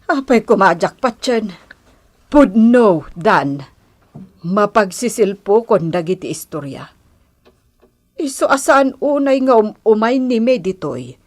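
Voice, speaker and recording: A woman's voice: medium pitch, lively intonation, slow pace, pauses often, darkish tone. An actress playing a stern, suspicious middle-aged mother in an Ilocano radio drama, admonishing, with a Filipino accent. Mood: reproachful.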